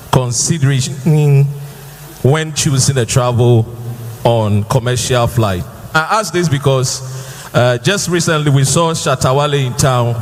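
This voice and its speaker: A man formally addressing a president with a question from the audience, speaking deliberately with pauses. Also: mid-range voice. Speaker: audience member asking a question. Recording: hall reverb.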